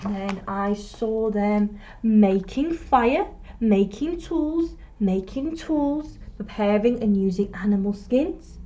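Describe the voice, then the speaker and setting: A woman teacher reading a picture book aloud to children, expressively, with deliberate pauses and emphasis. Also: mid-range voice, animated, warm tone, lo-fi sound. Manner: prompts young listeners with questions. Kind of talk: storytime read-aloud.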